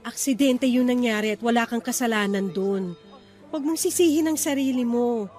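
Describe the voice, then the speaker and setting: A woman acting as a caring wife and mother with a high voice. She is reassuring and steady in classic radio drama style, over background music. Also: normal speed, close to an everyday voice. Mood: calming, pleading. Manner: reassuring, reasoning.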